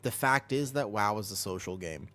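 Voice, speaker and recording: A man's voice: fairly low pitch, moderate pace, a bit bright. An American man streaming a game, chatting casually and rambling as he answers chat and laughs easily. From United States.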